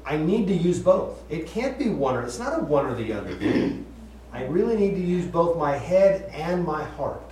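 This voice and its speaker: A man leads a relaxed Bible study in a reverberant room, heard from some distance away. His voice sits a little high and moves up and down a lot. He talks chattily, laughs often and restarts his sentences. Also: normal speed, slight background noise. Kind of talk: casual Bible study teaching. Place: room with group listening. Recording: echoey room, voice from far off.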